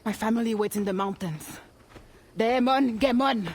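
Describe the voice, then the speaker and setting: A woman's voice: fairly high pitch, normal speed, a little mellow, lightly acted. A woman playing a grateful but wary rescued captive in a video game, speaking. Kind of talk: dramatic video game acting.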